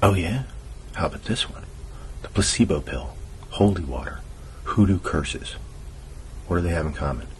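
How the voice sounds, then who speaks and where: A man's voice: expressive, normal speed, long pauses. A man acting as a dry, earnest conspiracy-minded cousin in an ASMR audio drama, speaking in a sardonic, colloquial, explanatory way. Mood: earnest, musing. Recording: noisy low-quality amateur recording.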